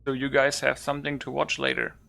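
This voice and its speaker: A man's voice: mid-range voice, completely flat delivery, brisk, gravelly, sharp tone. A man doing loose, informal game commentary as a YouTuber, thinking aloud without a script. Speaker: gaming YouTuber showing a modded game. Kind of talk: casual unscripted game commentary.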